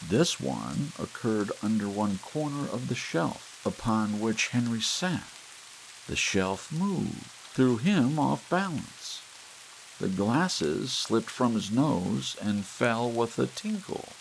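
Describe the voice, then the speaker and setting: A man with a low, gravelly voice narrates a story softly and slowly, lingering on pauses in a gentle bedtime manner. His pitch moves a lot for such a quiet read, rising and dipping with each phrase. Faint hiss and soft rain sit under the voice. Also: poor audio. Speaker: audiobook narrator.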